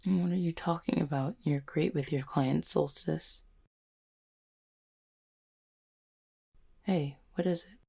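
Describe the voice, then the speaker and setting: A woman as a low-toned, concerned confidant. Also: steady tone, normal speed, creaky, slightly rough, exaggerated voice. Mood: gently concerned. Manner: brief, gentle questions and reassurance. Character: concerned, supportive confidant of a troubled cupid. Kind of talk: intimate audio roleplay acting.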